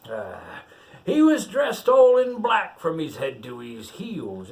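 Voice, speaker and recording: A man voicing a gruff, jovial old male pirate captain, reading a rhymed picture book aloud with folksy, chatty asides. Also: a little high, far from the mic, heavily acted.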